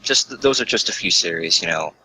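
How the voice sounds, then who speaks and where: A man's voice: low-pitched, animated, fairly quick, bright, forceful tone, a little hiss or hum, low-quality recording. A man chatting casually as a podcast guest, blunt, sweary and self-deprecating. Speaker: interview guest, online video creator.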